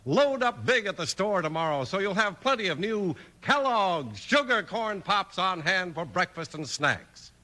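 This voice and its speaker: A man's voice: sits high, very animated, measured pace, hardly any pauses, sharp tone. A man announcing and narrating a 1950s radio show in a polished, emphatic showman's delivery. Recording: old 1950s radio recording.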